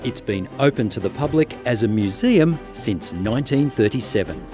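A man's voice: medium pitch, lively intonation, moderate pace, music in the background. A man, the host, giving upbeat, playful scripted narration for a travel show. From Australia.

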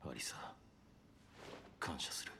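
An actor playing a brooding young male fighter who talks tersely and gravely in a game cutscene. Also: takes it slowly, in a whisper, natural voice. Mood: subdued. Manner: terse, grave, low-voiced.

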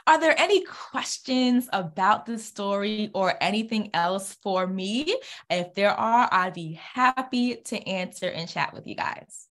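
A woman's voice: mid-range voice, animated, unhurried, a bit bright. A woman in her thirties doing an interactive read-aloud for young children, encouraging them and asking questions, recorded indoors on a video call. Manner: encouraging, exclamatory, asks questions and answers them. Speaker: children's author reading own book. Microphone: computer mic on video call.

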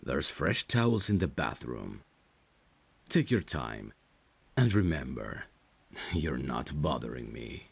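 A man's voice: extremely low pitch, very animated, takes it slowly, vocal fry, long pauses, breathy edge. A man acting a romantic ASMR roleplay as a caring, protective friend, speaking tenderly and intimately. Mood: soothing, caring. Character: caring, protective male friend secretly in love. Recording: rain ambience behind the voice. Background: rain.

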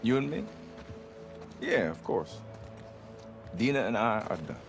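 An actor playing an easygoing young male survivor in a video game, teasing in a relaxed, wry, deadpan, naturalistic way. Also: medium pitch, unhurried, creaky, over music. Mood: reassuring.

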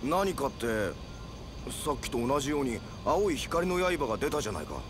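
Man acting brash young soldier buddy, animated delivery. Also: medium pitch, moderate pace, noisy background, close to an everyday voice. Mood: puzzled, concerned. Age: young adult. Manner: rough, casual, boisterous. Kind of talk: Japanese video game voice acting.

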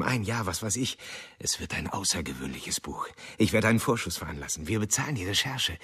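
An actor playing a persuasive, businesslike literary agent in a radio drama, pressing and emphatic, with a husky voice. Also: male voice, sits low, fairly bright tone, heavily acted.

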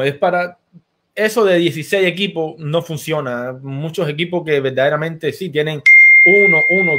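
A man's voice: a little high, sharp tone. A man in his thirties with a Cuban accent, hosting a casual baseball talk show and greeting viewers. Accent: Cuban Spanish. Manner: chatty, sends greetings to viewers. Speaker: host.

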